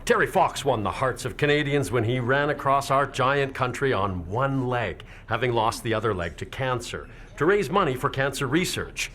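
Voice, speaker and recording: An actor playing a jovial veteran tour guide in a radio play, announcing in a theatrical, upbeat manner. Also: male voice, medium pitch, animated, moderate pace, few pauses, a bit bright, natural voice. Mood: showy, upbeat. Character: jovial veteran male tour guide, relentlessly chipper showman.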